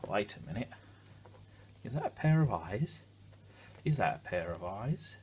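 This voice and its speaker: A man with a lowish, slightly husky voice reads a children's picture book aloud. He is expressive and talks simply to young listeners, with chatty asides and questions. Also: measured pace. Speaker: children's story reader.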